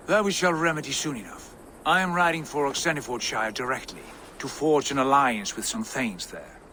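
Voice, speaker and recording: A commanding Viking lord in a video game, decisive and brisk. His voice is forceful and lively in pitch. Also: a little hiss or hum. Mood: brisk, assured. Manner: decisive, authoritative. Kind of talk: video game cutscene acting.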